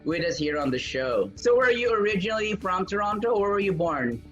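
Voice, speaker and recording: High-voiced man hosting an interview, eager, reacts with wows, faint music. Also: lively intonation, fairly quick, a bit bright, lo-fi sound. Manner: eager, lots of "wow"s. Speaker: interviewer, host.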